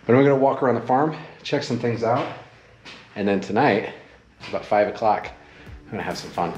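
A man in his forties talking casually to a handheld camera on a farm, relaxed and chatty. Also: medium pitch, fairly quick. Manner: relaxed, chatty, self-correcting. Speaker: travel vlogger. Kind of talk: casual vlog talk to camera. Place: outdoors on a farm and inside a trailer. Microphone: handheld action camera at arm's length.

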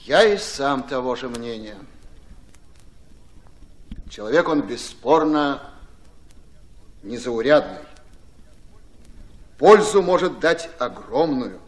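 Slow, deliberate senior army commander. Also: male voice, mid-range voice, animated, rough recording. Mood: thoughtful, approving. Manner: weighing, measured, authoritative. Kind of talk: Russian radio drama acting.

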